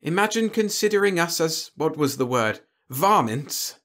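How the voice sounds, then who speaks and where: A man's voice: fairly high pitch, expressive, normal speed, a bit bright, pitched above the performer's own voice. A man voicing a genteel, pompous alien warlord in an audiobook, with fussy, over-polite, mannered speech. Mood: dismayed, fussy. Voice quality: scratchy. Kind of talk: audiobook character dialogue.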